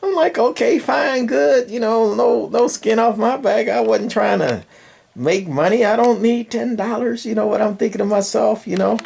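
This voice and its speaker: An older man with a gravelly voice, giving a casual, rambling hobbyist walkthrough with folksy, dryly sarcastic asides. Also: sits high, moderate pace, a little mellow. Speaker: radio hobbyist presenter.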